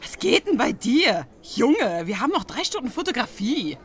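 Mischievous young pony girl played by a woman: brash, slangy, very animated intonation. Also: a little high, moderate pace. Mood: indignant, defensive. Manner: brash, slangy, complaining loudly. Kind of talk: fan audio drama.

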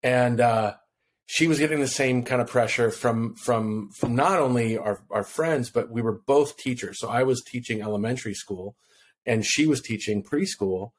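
A man from Hawaii in his fifties, a podcast guest, telling a personal story in a relaxed, rambling way and acting out quotes, on a podcast mic at home. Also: mid-range voice, normal speed, poor audio. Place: home room on video call. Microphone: podcast mic in front of him.

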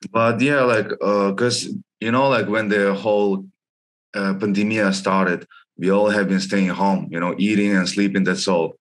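A young man who grew up in Russia and China, talking as a guest over a headset on a video call. His voice sits low, and he tells his story casually, leaning on "like" with informal phrasing. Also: little pitch movement, a little slow. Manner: frequent "like", informal non-native phrasing. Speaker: guest, gym member. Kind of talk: casual interview chat.

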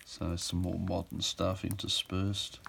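A middle-aged man giving an informal walkthrough of auction items in an offhand, halting way, as if thinking aloud. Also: low-pitched, monotone, gravelly, a bit bright, a little hiss or hum. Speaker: auction house presenter. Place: indoors at a table.

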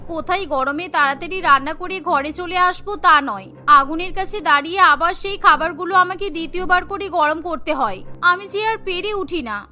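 A woman's voice: very high voice, moderate pace, sharp tone, faint music behind, full character voice. A woman voicing a polite, plaintive young daughter-in-law in a family cartoon. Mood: weary. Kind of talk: cartoon character dialogue.

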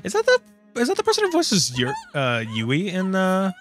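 Laid-back man, lively murmured asides. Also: high-pitched, moderate pace, soft background music. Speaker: reaction streamer. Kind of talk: casual reaction commentary.

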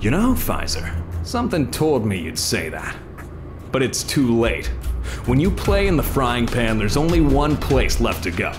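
A man's voice: medium pitch, wildly swooping pitch, measured pace, over music. A man playing a smug, taunting pyromaniac villain in an audio drama, mocking and menacingly casual.

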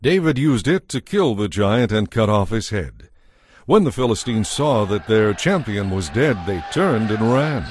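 A man narrating a dramatized scripture story in a measured storytelling manner. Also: lowish voice, lively intonation, a little hiss or hum.

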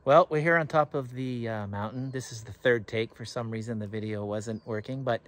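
A man's voice: medium pitch, moderate pace, no echo. A middle-aged man from Canada, chatting enthusiastically to a camera held at arm's length on a mountaintop. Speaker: travel vlogger. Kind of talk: casual travel vlog to camera. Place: outdoors on a forested mountaintop.

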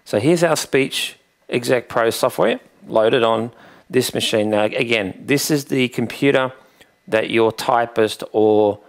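Male presenter, forties, gravelly fry, mid-pitched, plain explanatory tutorial delivery, close headset. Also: unhurried. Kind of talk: conversational instructional demonstration.

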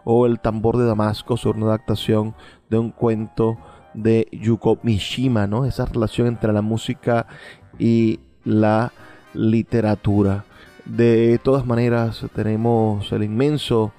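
Man, radio host, fairly low pitch, even intonation, halting delivery, music underneath. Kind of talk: informal music commentary with reflective asides.

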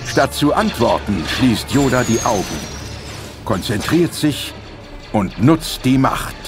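A man with a medium-pitched voice narrates an adventure audio play. His delivery is scripted and dramatic, and music runs clearly behind the words. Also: lively intonation, slow.

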